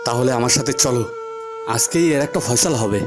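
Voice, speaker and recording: A man's voice: medium pitch, brisk, noisy background, natural voice. Man acting an impassioned young village lover. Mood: resolute. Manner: impassioned, earnest. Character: earnest young lover, village headman's son. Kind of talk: cartoon voice acting.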